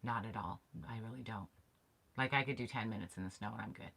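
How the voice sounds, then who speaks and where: Middle-aged woman chatting away in a little camper, low voice but bright, up and down a lot. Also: fast talker, vocal fry, husky. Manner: chatty, laughs often, animated storytelling. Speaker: co-host of a camping channel live stream. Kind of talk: casual live-stream chat. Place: small insulated camper interior. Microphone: fixed camera framing two people on a bench.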